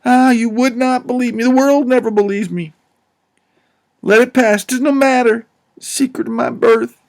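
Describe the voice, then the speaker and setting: A man plays a young swindler who wallows in self-pity and speaks in grand, theatrical mourning. The voice is pitched high and slow, with a lively, drawn-out delivery. It is an audiobook character voice. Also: gravelly. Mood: mournful. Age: young adult. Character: young male swindler, melodramatic and self-pitying.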